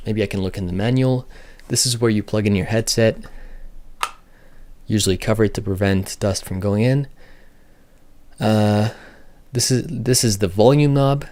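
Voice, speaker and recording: A man with a fairly low voice talks through a product in a casual, unscripted way. He thinks aloud and keeps a matter-of-fact manner, like a reviewer working things out as he goes. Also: a little mellow.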